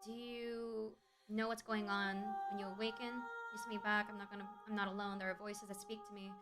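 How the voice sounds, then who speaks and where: A woman's voice: mid-range voice, flat delivery, fairly quick. A woman livestreaming a game, reacting expressively and hesitantly and reading lines aloud. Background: game music.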